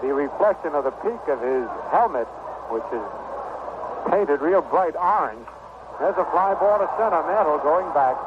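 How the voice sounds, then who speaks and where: A man doing radio baseball play-by-play in a 1960s broadcast style, speaking in short clipped phrases with pauses. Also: on the high side, expressive. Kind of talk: 1960s radio sports commentary. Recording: noisy narrow-band vintage 1960 radio broadcast.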